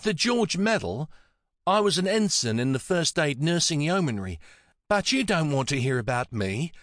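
A man voicing a kindly, affectionate elderly woman with a soft Antipodean accent and a fond, coaxing manner. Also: fairly high pitch, sing-song, normal speed, dry recording, studio quality, voice pushed up. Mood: gentle, helpful.

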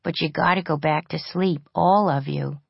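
A woman voicing a tough but kind orphan girl leader who speaks firmly and softly by turns, in a dull lo-fi storybook recording. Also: low voice, moderate pace, creaky, slightly rough, no echo, full character voice. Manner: firm yet gentle, soft-spoken when comforting.

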